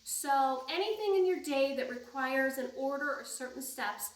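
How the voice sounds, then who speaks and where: A woman's voice: high-pitched, expressive, moderate pace. A woman leading a storytime for young children, reading aloud and talking in a sing-song way with simple prompts. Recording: echoey room, distant microphone.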